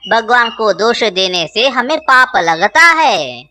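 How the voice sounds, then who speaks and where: Humble crow husband for kids' animation, played by a woman: bright, swooping, earnest and pleading. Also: a little high, very animated, slow, exaggerated voice. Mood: worried, pious. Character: meek, pious, jobless male crow husband. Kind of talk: children's cartoon voice acting in Hindi.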